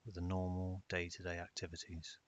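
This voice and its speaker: A man narrating a guided meditation in a calm, measured way with long pauses. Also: sits low. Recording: dull lo-fi audio with hiss.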